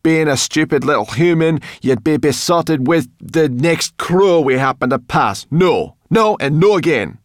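A man voicing a bossy, grumpy, excitable female creature in a fantasy audiobook, scolding and exclaiming. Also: a little high, unhurried, hardly any pauses, dry recording, clean studio sound, voice pushed up. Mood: scornful.

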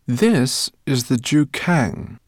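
A man's voice: medium pitch, big pitch swings, extremely slow, dry recording, studio quality, pitched above the performer's own voice, full character voice. A man reading an audiobook, voicing a kindly, flustered older male professor.

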